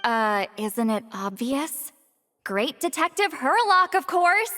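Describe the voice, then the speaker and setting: Squeaky, eager young girl. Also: very animated, takes it slowly, sharp tone, soft background music, exaggerated voice. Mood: eager, cheerful. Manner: enthusiastic, peppy. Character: perky, eager young girl, enthusiastic film fan. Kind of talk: English anime game dub, scripted cutscene acting.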